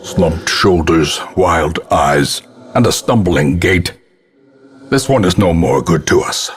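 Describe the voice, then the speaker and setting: Grim old ancestor narrator for a gothic horror game, super deep n husky, slow solemn theatrical delivery. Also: male voice, animated, heavily acted. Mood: grave, contemptuous. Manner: solemn, ornate, deliberate dramatic delivery. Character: grim, guilt-ridden old male ancestor, theatrical and foreboding.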